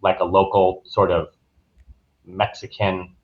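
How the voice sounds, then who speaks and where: Man, fairly low voice, dull lo-fi audio, casual travel advisor guest, informal explanatory chat, loose phrasing. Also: level tone, takes it slowly.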